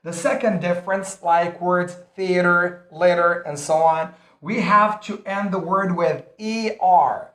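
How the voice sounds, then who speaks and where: Emphatic high-voiced male English teacher. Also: roomy sound. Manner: repetitive, emphatic teaching delivery. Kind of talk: recorded English lesson.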